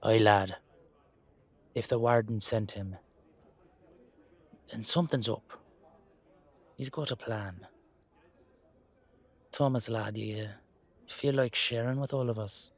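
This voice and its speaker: A man's voice: on the low side, slow, long pauses. A man voicing a level-headed leprechaun peacemaker with matey, colloquial speech in an audio roleplay. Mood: curious.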